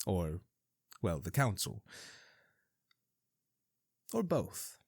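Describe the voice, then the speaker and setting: A man's voice: sits low, big pitch swings, extremely slow, vocal fry, slightly rough, no echo, studio quality. Wry man hosting a fantasy radio show. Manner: wry, theatrical asides. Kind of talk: scripted fantasy radio monologue.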